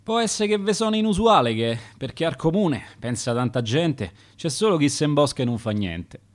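A man's voice: a little high, big pitch swings, normal speed. A man reading the verse of a dead, hard-working town clerk, in a Roman accent. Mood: bitter. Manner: reciting dialect verse in rhyme.

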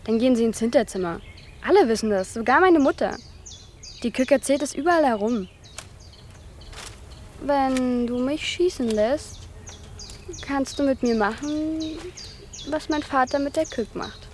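Insistent high-voiced village teenage girl. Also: lively intonation, unhurried, pauses often, a little mellow, slight background noise. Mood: pleading, halting. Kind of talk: radio drama dialogue.

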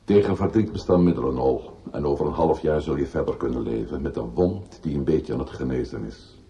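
An actor playing a grave, consoling older man in a Dutch radio drama, with a measured, paternal, philosophical manner. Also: rumbling low, warm tone, highs cut off. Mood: emphatic, consoling. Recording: slightly noisy old radio recording.

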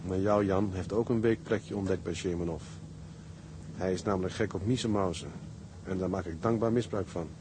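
Casting: a man for a tender sailor narrating letters home, fairly low, intimate and measured, over clear shipboard noise. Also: lightly acted. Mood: tender, reflective. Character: young seaman writing home tenderly to his sweetheart. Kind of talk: radio drama letter narration.

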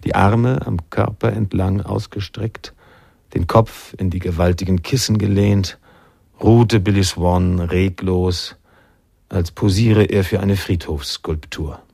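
A man narrating a literary radio drama in a measured, sombre manner, with a gravelly, husky voice. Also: deep voice, steady tone, dark tone, no echo, studio quality, exaggerated voice.

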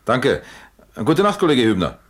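An actor playing a wry, unhurried police captain in a German radio crime drama, with a dry, matter-of-fact delivery. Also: male voice, mid-range voice, wildly swooping pitch, background noise. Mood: brisk, commanding.

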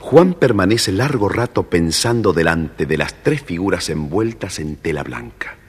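A man's voice: sits low, a bit bright, husky. A man narrating a scripted children's fairy tale as the storyteller. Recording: reverberant, noisy old story record.

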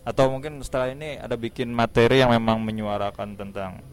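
A man's voice: medium pitch, steady tone, fairly quick, smooth. A male radio host with a Javanese accent from East Java. His talk is colloquial and loose, like a casual interview. He keeps nudging guests to join in and speak. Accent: Javanese-influenced Indonesian, East Java.

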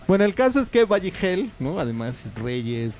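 A man's voice: sits high, very animated, normal speed, darkish tone. A man speaking, hosting a casual community radio show with a rambling, chatty manner full of fillers and asides. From Mexico. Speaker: radio host. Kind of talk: casual on-air chat. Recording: radio studio with faint music behind.

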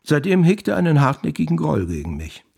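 An actor playing a proud, caustic novelist musing in a radio play, with a gravelly, raspy voice and a biting manner. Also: male voice, fairly high pitch, huge pitch swings, fairly quick, a little mellow, full character voice. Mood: scornful. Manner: self-satisfied, biting, musing. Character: proud, caustic male novelist brooding over his critics.

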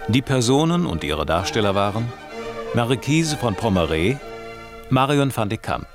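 A man's voice: medium pitch, sing-song, a little slow. A man working as an announcer, reading out the credits of a radio play. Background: music.

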